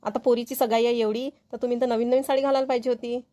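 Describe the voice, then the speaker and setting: A woman's voice: on the high side, flat delivery, rapid-fire, warm tone, close to an everyday voice. A woman voicing a fussy village woman in a cartoon comedy. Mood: reproachful.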